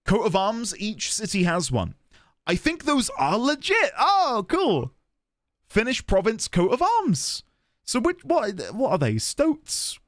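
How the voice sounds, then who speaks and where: A man live-streaming a video game, chatting excitedly with viewers, thanking them and stumbling over restarts as he talks. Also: sits high, slow, dry recording, studio quality. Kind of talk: casual live-stream chat and commentary.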